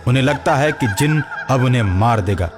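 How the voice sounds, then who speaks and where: A man's voice: mid-range voice, expressive, fairly quick. A man narrating a scripted cartoon story as the storyteller. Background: background music.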